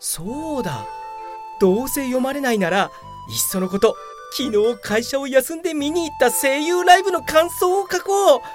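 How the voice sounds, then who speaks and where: A woman's voice: high voice, animated, normal speed, faint music behind. A woman playing a whiny, self-important young male office worker in a comedic radio drama, full of grumbles and excuses. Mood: excited.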